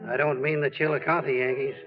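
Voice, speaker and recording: A man's voice: medium pitch, level tone, a little fast, natural voice. Guy acting wry folksy sportswriter, mid voice, tinny old radio drama with faint music. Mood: wry, sceptical. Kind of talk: 1940s radio drama narration and dialogue.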